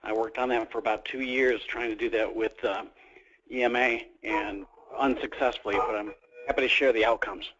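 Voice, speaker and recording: A man's voice: fairly low pitch, steady tone, normal speed, a bit bright, a little hiss or hum. A man commenting informally as a participant on a conference call. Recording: dull conference call line.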